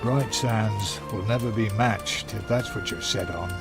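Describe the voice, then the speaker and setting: An actor playing a proud, bitter demolition engineer in a video game, speaking in a gruff, deliberate way. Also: male voice, lowish voice, loud music behind the voice.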